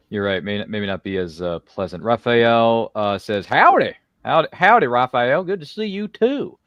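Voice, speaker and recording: A man's voice: medium pitch, big pitch swings, measured pace, a bit bright, dry recording, lo-fi sound. A man hosting a casual roundtable, chatty and jokey, drifting into slangy asides. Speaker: host. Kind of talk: casual roundtable hosting, reading viewer comments.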